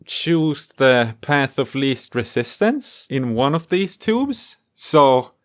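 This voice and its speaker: A man presenting in a casual, spontaneous way, excitable and playful as he thinks aloud through a demonstration. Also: medium pitch, slow pace. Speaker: host and musician demonstrating homemade experimental gear. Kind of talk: casual spontaneous demo to camera.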